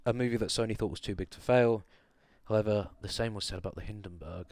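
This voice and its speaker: A man's voice: on the low side, quick pace, a little mellow, no echo, studio quality. A man co-hosting a film podcast, chatting casually with wry, sarcastic humour and some swearing. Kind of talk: casual chat, recapping a film plot.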